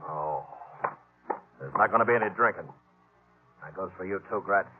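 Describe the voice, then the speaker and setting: An actor playing a hard, bullying outlaw boss in an old western radio play, curt, commanding and scornful. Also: male voice, deep voice, moderate pace, over music, close to an everyday voice. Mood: irritated, commanding. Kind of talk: 1940s-50s western radio acting. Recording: tinny old radio recording.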